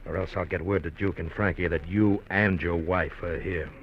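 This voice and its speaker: An actor playing a desperate, pushy small-time gangster on the run, tough-talking in 1950s radio drama style. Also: male voice, deep voice, fairly even intonation, moderate pace, lightly acted. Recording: muffled vintage radio broadcast with faint hiss.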